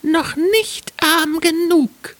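A man's voice: extremely high pitch, extremely slow, a bit bright, no room sound, voice pushed up, full character voice. A man voicing a small, vengeful, taunting sparrow in a fairy tale.

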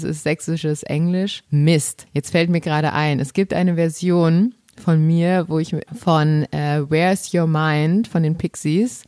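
A woman's voice: sits low, darkish tone, no echo. Chatty woman with a tinge, dry sound. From Saxony, Germany. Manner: chatty, explanatory. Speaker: podcast host. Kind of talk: casual podcast conversation.

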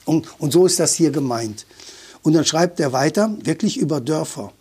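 A man's voice: on the high side, normal speed, a little mellow, husky. A man talking as a guest about dialect, thoughtful and opinionated, often restarting his sentences. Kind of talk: conversational explanation.

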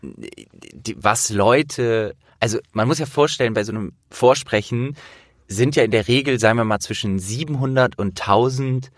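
A man's voice: mid-range voice, moderate pace, dry recording, clean studio sound. A man hosting a casual interview, talking in a rambling, storytelling way.

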